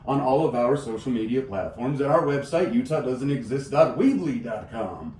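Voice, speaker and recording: A man's voice: mid-range voice, lively intonation, normal speed, a little mellow, far from the mic. A laid-back man hosts a small radio interview in a slangy, easygoing way. His voice sits back from the mic in a roomy space. A faint hiss runs underneath. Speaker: radio show host. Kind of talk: casual interview chat.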